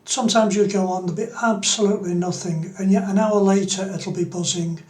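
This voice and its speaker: A man's voice: high voice, steady tone, fairly quick, darkish tone, echoey room. A middle-aged man, giving an informal explanatory tutorial as a hobbyist. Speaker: amateur radio hobbyist presenting a tutorial.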